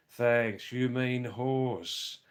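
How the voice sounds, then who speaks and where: A hoarse man live-streaming from a hill village, reading out viewers' comments and greeting them by name in a halting way with many fillers. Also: medium pitch.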